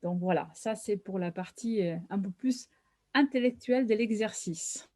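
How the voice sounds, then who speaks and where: A woman with an Italian accent, speaking French on a video call and explaining a workshop method in a measured, theoretical way with frequent hesitations. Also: mid-range voice, mellow, no room sound. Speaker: webinar co-host and facilitator. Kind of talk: explanatory webinar presentation.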